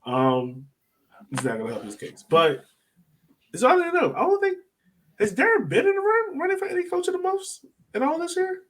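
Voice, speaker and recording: A man's voice: very high voice, wildly swooping pitch, normal speed, a bit bright, rough recording. A man hosting a podcast, chatting casually about sports. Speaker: podcast host.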